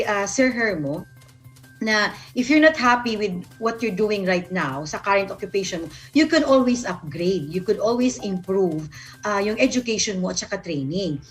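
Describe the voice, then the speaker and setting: A woman's voice: medium pitch, low-quality recording. A woman with a Filipino accent giving informal, orderly advice as a guest, mixing English with some Tagalog. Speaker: guest sharing career advice.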